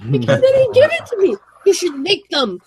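A woman in casual conversation, sounding sick and slightly gravelly, telling stories animatedly and acting out exchanges. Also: very high voice, unhurried. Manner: animated storytelling, acts out exchanges. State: sick, voice deeper and more gravelly than usual. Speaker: podcast guest, small business owner who ships orders. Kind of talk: casual chat.

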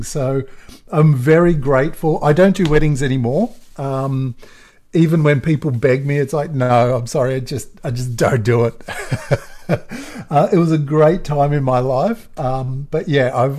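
A middle-aged Australian man with a croaky voice, a photographer chatting easily as a podcast guest and laughing often. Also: mid-range voice, warm tone. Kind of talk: casual podcast interview.

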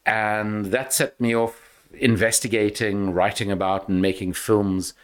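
An older man with a South African accent, speaking as a podcast guest in a measured, emphatic way. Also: fairly low pitch, little pitch movement, smooth. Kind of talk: podcast interview.

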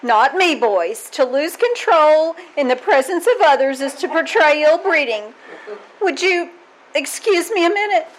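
A woman playing a sardonic high school teacher with a dry wisecracking delivery in an old-time radio comedy. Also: expressive, unhurried, poor audio. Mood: composed. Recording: live stage recording with background noise.

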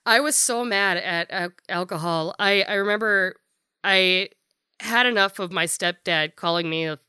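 A woman in her thirties with a deep voice, talking frankly and bluntly as a podcast guest, swearing freely and using lots of fillers. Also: measured pace, pushed and bright, smooth, no echo, clean studio sound. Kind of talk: casual candid conversation.

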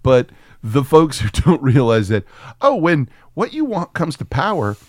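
A man's voice: medium pitch, very animated, a little slow, dry recording, clean studio sound. A man co-hosting a film podcast, chatting casually and comedically in a profane, jokey, rambling way.

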